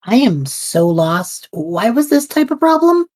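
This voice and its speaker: A woman's voice: fairly high pitch, sing-song, measured pace, a little mellow. A woman playing a bewildered but supportive young man in an audio drama, casual, hesitant and wry.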